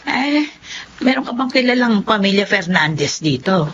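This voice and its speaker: A woman's voice: medium pitch, lively intonation, moderate pace, lightly acted. A woman playing a kindly, chatty older aunt in a radio drama, full of fussy exclamations. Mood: relieved, grateful. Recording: muffled, clearly noisy drama audio.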